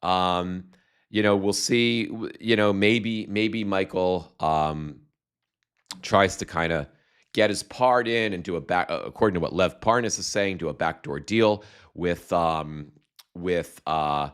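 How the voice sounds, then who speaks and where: A man hosting a live stream with a slight cold and a voice going hoarse, chatting in a rambling, halting way full of fillers and restarts while reading viewer comments. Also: deep voice, a bit bright. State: slight cold, losing his voice. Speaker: livestream host.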